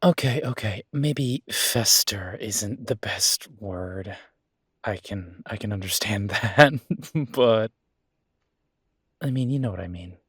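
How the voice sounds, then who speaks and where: A man's voice: on the low side, takes it slowly, a bit bright, husky, dry recording, clean studio sound, heavily acted. A man playing an affectionate young werewolf boyfriend in an intimate audio roleplay, talking in a casual, hesitant, rambling way. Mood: sheepish.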